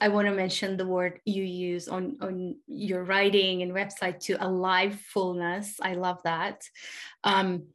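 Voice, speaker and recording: Curious host woman from Turkey. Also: medium pitch. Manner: curious, searching for words. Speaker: podcast host. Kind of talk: interview questions.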